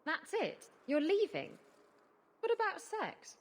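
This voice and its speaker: Lively, brazen rogue woman. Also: very high voice, slow, full character voice. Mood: brazen, playful. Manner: sassy, blunt. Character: brazen, flirtatious pirate rogue woman, blunt and playful. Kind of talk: video game dialogue acting.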